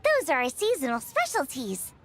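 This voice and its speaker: A woman's voice: high-pitched, wildly swooping pitch, normal speed, fairly bright tone, full character voice. A woman voicing a cheerful, confident young girl chef in a video game, chatty, bubbly and reassuring. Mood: upbeat, friendly. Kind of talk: English video game voice acting.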